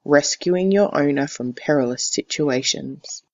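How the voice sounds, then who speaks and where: A teacher reads a picture book aloud in a deliberate, expressive storytelling style, on a slightly dull recording. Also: mid-range voice. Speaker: teacher reading to a class.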